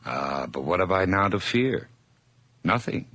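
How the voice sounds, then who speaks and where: An actor narrating as a nervous, obsessive man confessing a murder in a radio drama, his delivery intense and feverish, moving between hushed whispers and sudden outbursts. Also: sits low, lively intonation, a little slow, heavily acted.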